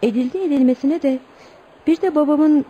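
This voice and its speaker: Woman acting a high-voiced earnest young bride-to-be. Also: moderate pace, muffled recording, lightly acted. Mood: storytelling. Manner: earnest, theatrical storytelling. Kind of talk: Turkish radio theatre acting. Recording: noisy old radio drama recording.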